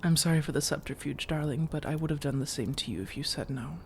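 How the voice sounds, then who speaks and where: A woman playing a flirtatious, coaxing female vampire in a soft-spoken ASMR roleplay, teasing and measured, with intimate pauses and breathy slips into whispers. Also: low-pitched, slight background noise. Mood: coaxing, affectionate. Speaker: audio roleplay performer.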